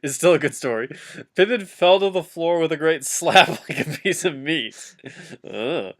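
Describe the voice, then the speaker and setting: A man's voice: on the high side, lively intonation, normal speed. A man doing a comic dramatic reading of fan fiction, giggly and often breaking off to heckle the text with asides.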